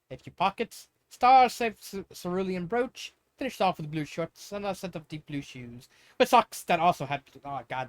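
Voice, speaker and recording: Man reading fanfiction, halting and informal. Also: sits high, no echo. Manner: informal, halting, stumbles over words and corrects himself. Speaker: fanfiction reader, channel host. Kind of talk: casual read-aloud of fanfiction with off-script asides.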